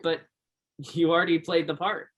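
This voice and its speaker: A man's voice: a little high, little pitch movement, normal speed, lightly acted. A man acts the role of a young Alaskan woodsman in a one-act play. He comes across as earnest and shy, with a hesitant, sincere way of speaking. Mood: keen. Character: earnest, shy young Alaskan backwoodsman who loves Shakespeare.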